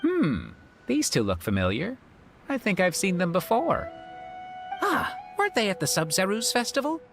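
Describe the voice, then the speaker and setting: A woman's voice: medium pitch, huge pitch swings, normal speed. A woman voicing a playful young friend in a video game, breezy, chatty and fond of teasing. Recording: music behind the voice. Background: music.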